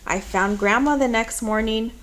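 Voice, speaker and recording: A woman reading a children's picture book aloud in a measured, expressive storytelling manner. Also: a little high.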